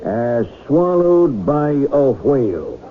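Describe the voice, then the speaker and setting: An actor playing a slow-witted, flustered police officer with hesitant, folksy, uneducated diction in a 1970s radio drama. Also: male voice, medium pitch, big pitch swings. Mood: plodding, puzzled. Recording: thin, phone-like 1970s radio recording.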